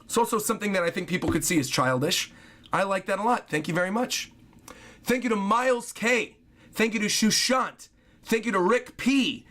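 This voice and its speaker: A man hosting a casual livestream, reading viewer donations aloud and chatting in a hoarse, scratchy voice. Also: high voice, a bit bright. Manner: chatty, apologetic, thanks viewers often.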